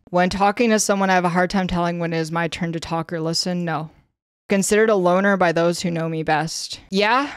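A young woman with a Canadian accent chats casually on her stream, her tone flat and a bit monotone. Her voice sits on the lower side, and the sound is dry and close with no room around it. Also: dry recording. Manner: flat, slightly monotone delivery with frequent fillers. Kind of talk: casual livestream chat, reading a questionnaire aloud. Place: indoor room, streaming setup.

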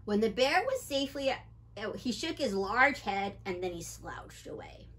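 A middle-aged woman reading a picture book aloud to children in an animated way, talking to them directly with questions. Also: a little high, unhurried, slightly rough. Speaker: children's story time reader and wildlife educator. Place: small indoor library room with bookshelves.

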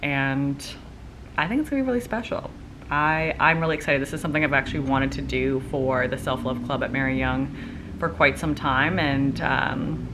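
A young woman with a deep, slightly stuffy voice chats casually to the camera in an upbeat, rambling way. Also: noisy background. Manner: chatty, upbeat, rambling with fillers. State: stuffy nose. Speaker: vlogger and entrepreneur. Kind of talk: casual vlog chat to camera. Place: living room by a window.

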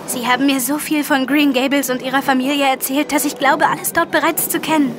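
An eager little girl acted by a woman, very high-voiced and politely enthusiastic. She is dreamy and excitable, in radio drama style, with clear vehicle noise behind her. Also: brisk, lightly acted. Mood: dreamy, hopeful.

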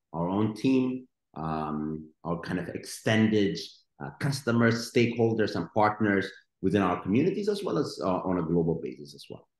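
A middle-aged man talks with lively energy as a panel guest, his voice sitting very low. The webcam recording picks up a roomy echo around him. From Ethiopia. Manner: energetic, gesturing with his hands. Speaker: guest entrepreneur and investor. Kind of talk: webinar panel answer. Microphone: video call webcam.

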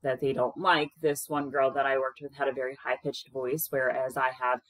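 A woman in her thirties with a strikingly deep voice that carries, speaking loudly and frankly as a caregiver offering advice. She talks to a webcam in a small room. The sound is dry, with no room echo at all. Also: level tone, normal speed. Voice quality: low-pitched voice that projects. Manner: loud, frank and conversational. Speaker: caregiver sharing experiences. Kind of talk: casual advice talk to camera. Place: small indoor room with plain wall.

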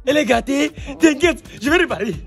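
A young man playing a boastful fake mechanic in a comedy sketch, talking loudly and laughing, outdoors beside a car. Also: very high voice, animated, a little fast, creaky, faint music behind. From Togo. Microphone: phone camera at close range.

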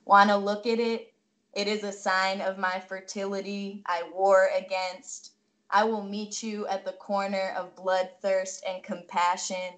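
A woman reading poetry over a video call in a measured, deliberate way. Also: medium pitch, fairly bright tone. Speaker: poet giving a reading.

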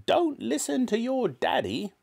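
Man putting on a shrieking-high wicked old granny. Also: wildly swooping pitch, a little slow, vocal fry, darkish tone, exaggerated voice. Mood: bossy, snapping. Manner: scolding, snapping, relishing the disgusting. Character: nasty tiny old grandmother, wicked, bossy, witch-like. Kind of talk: storybook character reading.

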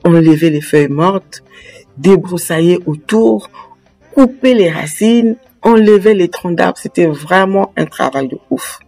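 Husky-voiced woman teaching to a webcam. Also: on the low side, lively intonation, a little slow, mellow, faint music behind. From Congo. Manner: direct, addresses viewer with many questions. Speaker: life coach teaching farming. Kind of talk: casual video lesson. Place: large indoor office room. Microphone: webcam at desk.

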